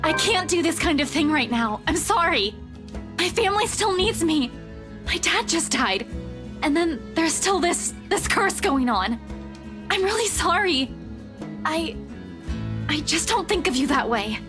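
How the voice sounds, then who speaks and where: A woman's voice: extremely high pitch, sing-song, unhurried, long pauses, heavily acted. An actress playing a flustered, caring young woman with a scolding streak in a visual novel, with music behind her. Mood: apologetic, distressed. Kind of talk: visual novel game dialogue.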